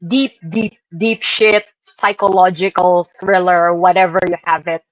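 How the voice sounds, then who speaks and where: A woman's voice: fairly low pitch, fairly even intonation, a little slow, dry recording, low-quality recording. Woman doing a chatty slide talk on a stream, bit hesitant, dry sound. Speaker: podcast presenter. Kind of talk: informal slide presentation to co-hosts. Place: online live stream call.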